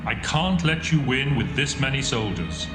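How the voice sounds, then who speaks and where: An actor playing God in a dramatised Bible reading, speaking in a solemn, commanding way. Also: male voice, medium pitch, very animated, normal speed, natural voice. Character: God, commanding divine male voice. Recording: echoey with music behind.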